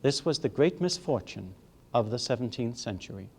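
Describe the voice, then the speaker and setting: A man giving a formal history lecture in a measured, emphatic way, leaning on rhetorical repetition. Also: medium pitch, lively intonation, a little mellow, slightly rough.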